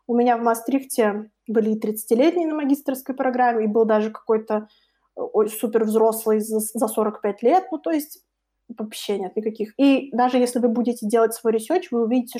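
A young woman with a fairly high, very soft-toned and mellow voice speaks as an interview guest. She explains things in an informal, conversational way, often acting out what other people might say. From Russia. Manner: explanatory, informal, illustrates with imagined quotes. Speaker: interview guest, co-founder of a study-abroad project.

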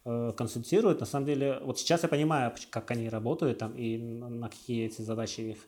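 A man speaking as an interviewer in a casual, conversational way, thinking aloud haltingly. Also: medium pitch, steady tone, a little mellow.

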